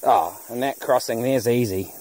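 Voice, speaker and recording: A man's voice: mid-range voice, normal speed, darkish tone. A man in his thirties thinking aloud in an understated way, giving casual off-the-cuff commentary as an off-road vlogger. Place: outdoors on a forest dirt track. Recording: noisy outdoor handheld camera audio.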